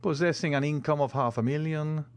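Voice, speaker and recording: A man voicing a cool, composed wealthy count who speaks in a measured way. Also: fairly high pitch, fairly even intonation, clear, resonant tone, no room sound, voice pushed up, close to an everyday voice.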